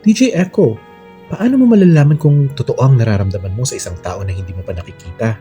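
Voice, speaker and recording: A man's voice: mid-range voice, expressive, fast talker, mellow, close to an everyday voice. A Filipino man voicing a shy, lovestruck young male student in a storybook romance, wistful and earnest. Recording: music under the voice.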